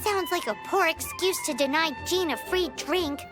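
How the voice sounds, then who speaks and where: A woman's voice: very high voice, normal speed. A woman voicing a tiny, cheeky female fairy companion who talks about herself in the third person, in video game dialogue. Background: game music.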